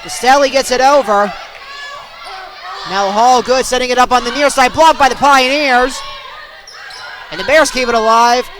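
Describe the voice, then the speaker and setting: A young man calling live sports play-by-play in short, punchy, excitable phrases. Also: extremely high pitch, moderate pace, pauses often, some chatter behind. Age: young adult. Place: at sports venues, live during games.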